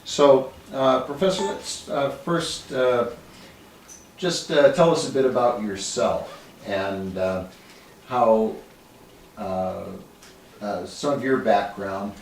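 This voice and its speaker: A male magazine editor introduces his guest in an unhurried, halting way, filling gaps with uhs. He is some distance from the microphone, and his voice rings in a roomy space over a faint hiss. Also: medium pitch. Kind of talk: interview introduction. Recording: echoey room, mic at a distance, faint hiss.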